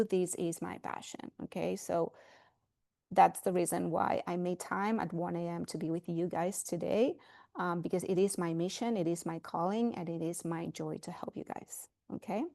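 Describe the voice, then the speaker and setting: A woman's voice: lowish voice, creaky, darkish tone, dry recording, clean studio sound. A woman with a Spanish accent presenting a webinar as a fertility coach, earnest and emphatic, repeating words for stress. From Spain.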